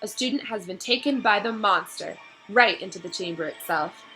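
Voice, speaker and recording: A woman's voice: mid-range voice, moderate pace, sharp tone, faint music behind, rough recording. A woman voicing a grave, stern senior female professor in a storybook, making solemn announcements.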